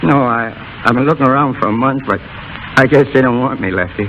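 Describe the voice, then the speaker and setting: A man's voice: medium pitch, a little fast, a bit bright, muffled recording, close to an everyday voice. An actor playing a down-and-out, defensive showman who pleads in faltering slang, in 1930s radio style. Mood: dejected, pleading. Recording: hissy vintage 1930s radio broadcast.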